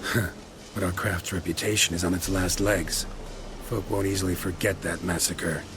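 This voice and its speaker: An actor playing a gruff, world-weary monster hunter in a video game, terse and deadpan with a gravelly voice. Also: male voice, moderate pace, noisy background, natural voice. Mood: grim. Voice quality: low, gravelly. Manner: terse, deadpan, clipped sentences. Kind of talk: video game character acting.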